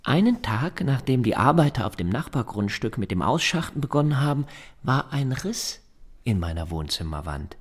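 A man's voice: mid-range voice, sing-song, normal speed. An actor playing a persistent, meticulous man of about forty in a radio drama, speaking formally and insistently. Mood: insistent, pedantic. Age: 40s.

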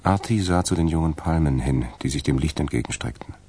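A man narrating a radio drama from a script, in a deep, gravelly voice. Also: a little fast, darkish tone.

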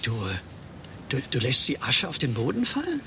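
A man's voice: mid-range voice, wildly swooping pitch, normal speed, pushed and bright, slight background noise, full character voice. An actor playing a nervous, easily flustered programmer in a radio play, stammering and hesitating as he talks. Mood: awkward, flustered. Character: nervous, easily flustered male programmer, guilty secret smoker. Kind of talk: German radio play acting.

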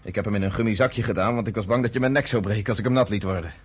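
A man's voice: fairly low pitch, very fast, slightly rough. A man in his thirties playing a blunt, commanding underground leader in a radio play. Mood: bitter. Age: 30s.

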